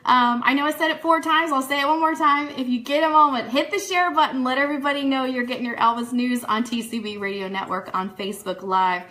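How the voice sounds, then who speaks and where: A woman's voice: high-pitched, a little fast, hardly any pauses, sharp tone. A woman hosting a live online radio show, chatting through fan news and announcements in an upbeat, enthusiastic way that speaks straight to listeners. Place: studio.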